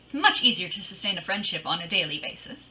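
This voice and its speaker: A woman's voice: lowish voice, big pitch swings, fast. A woman playing a wry, confident, wisecracking private detective in old-time radio style, breezy and flippant. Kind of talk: 1940s radio acting, narration and dialogue.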